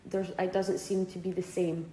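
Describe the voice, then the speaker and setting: A woman's voice: fairly low pitch, level tone, moderate pace, dark tone, roomy sound, a little hiss or hum. A Scottish woman in her twenties answering interview questions casually, often hesitating with fillers and restarts. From Scotland. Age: 20s.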